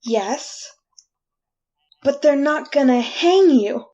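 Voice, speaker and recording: A woman's voice: high-pitched, expressive, slow, a little mellow, lo-fi sound. A woman playing a resigned, wry condemned prisoner in a 1940s-style radio drama, talking in a folksy, confiding way. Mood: resigned, bitter. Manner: colloquial, confiding. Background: music.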